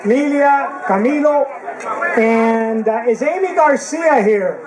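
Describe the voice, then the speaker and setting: Man in his 60s, emcee type, high pitch, halting and joking, loud crowd noise behind. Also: lively intonation, poor audio. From Cuba. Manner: halting, many fillers and restarts, joking. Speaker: event emcee. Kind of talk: speaking to a crowd at a reunion.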